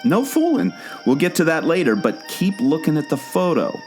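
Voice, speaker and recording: A man's voice: fairly high pitch, wildly swooping pitch, moderate pace, a little mellow, faint music behind. A man playing an eager, knowing explorer guide in a scripted audio drama, talking like an earnest storyteller. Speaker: co-host of a theme park podcast.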